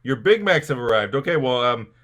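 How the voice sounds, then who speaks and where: Man, mid pitch, live-stream host, casual comic interview style, playing along. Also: lively intonation, a little fast, noisy background. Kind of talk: casual comic interview, relaying chat questions.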